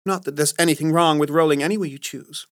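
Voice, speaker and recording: A man's voice: on the high side, steady tone, fast talker, fairly bright tone, pitched above the performer's own voice, lightly acted. A man voicing a poised, witty married woman who works in sales, speaking in a raised, teasing voice. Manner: teasing, prim.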